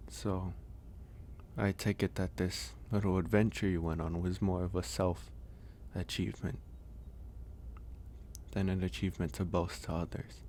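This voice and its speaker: A man playing a gentle, caring werewolf in a soft, intimate ASMR audio roleplay, speaking hesitantly and reassuringly with frequent pauses. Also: sits low, level tone, a little hiss or hum. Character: gentle male werewolf, caring stranger nursing a guest.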